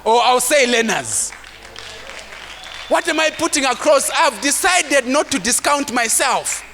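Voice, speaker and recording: A man with a mild stammer giving a preachy motivational talk to a live audience at a conference, acting out timid voices and sometimes shouting to make a point. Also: extremely high pitch, big pitch swings, measured pace, gravelly, some chatter behind, a little hiss or hum. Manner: preachy, rising to shouts for emphasis; acts out timid voices of self-doubting people. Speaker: motivational speaker. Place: large conference hall. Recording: long hall reverb.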